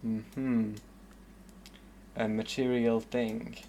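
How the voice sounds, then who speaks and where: A man's voice: mid-range voice, little pitch movement, drawn-out words, creaky, mellow, slight background noise. A man from the southern suburbs of Paris with a French accent, casually commenting while playing a game and thinking aloud with lots of hesitant fillers. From southern suburbs of Paris, France. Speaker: streamer.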